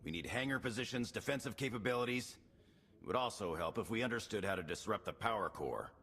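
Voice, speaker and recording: Resolute male military commander for a game. Deep, clipped, matter-of-fact, with faint noise behind. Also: lively intonation, fast talker, a bit bright. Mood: brisk, tactical. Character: stern, resolute male former fleet admiral. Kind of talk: scripted game character dialogue.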